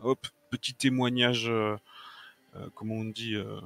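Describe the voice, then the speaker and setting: A man playing a tabletop role-playing game, speaking haltingly and often repeating his words. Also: fairly low pitch, level tone, creaky, a bit bright. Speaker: player. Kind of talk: casual role-play talk.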